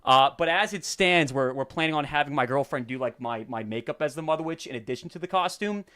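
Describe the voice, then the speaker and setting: A young man with a stuffy, sniffly voice, hosting a casual live stream and greeting viewers in rapid-fire hype. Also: on the high side, a bit bright, clean tone. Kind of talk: casual livestream chat.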